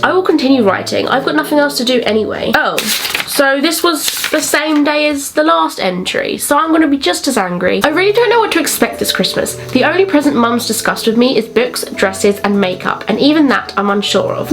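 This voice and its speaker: A woman's voice: high-pitched, slight background noise, rough recording. A young woman with an English accent chatting informally in a vlog and reading aloud from old diaries in a self-mocking way. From England. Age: young adult. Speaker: vlogger.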